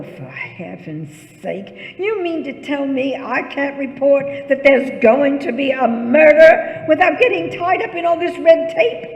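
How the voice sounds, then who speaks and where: A woman's voice: high voice, highs cut off, heavily acted. A woman playing a wealthy, imperious invalid wife who is anxious and easily flustered, in 1940s radio suspense style. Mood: indignant. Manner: agitated, haughty, dramatic, stammering when flustered. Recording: echoey stage or hall, long reverb.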